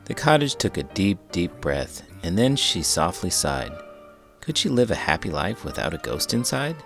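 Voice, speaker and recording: A man with a low voice reads a children's story aloud. His delivery is theatrical and very animated, swinging widely in pitch. Faint music plays underneath. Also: normal speed, gravelly. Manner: theatrical, expressive. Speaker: host, children's book reader.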